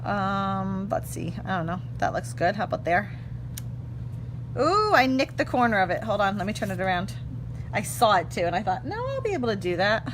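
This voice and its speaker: A woman in her thirties hosting a casual stamping craft demo, slightly hoarse, chatty and self-correcting, talking while she works at a table in her craft room. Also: mid-range voice, sing-song, normal speed. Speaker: craft tutorial host. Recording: clear background hum.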